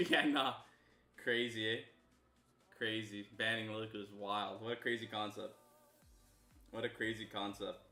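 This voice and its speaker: A young man from Canada chats casually with his stream audience in a bright, forward voice. He mumbles along and then erupts into loud greetings. The room sounds echoey, with faint music underneath. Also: mid-range voice. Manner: loose and chatty, bursts of loud excitement. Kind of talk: casual stream chat with viewers.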